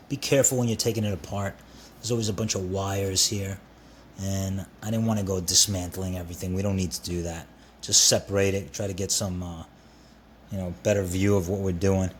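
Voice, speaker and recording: A man narrating a hands-on how-to in an informal way, repeating himself as he talks the viewer through each step. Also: fairly low pitch. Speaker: repair tutorial host. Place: indoors at a workbench. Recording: steady background noise.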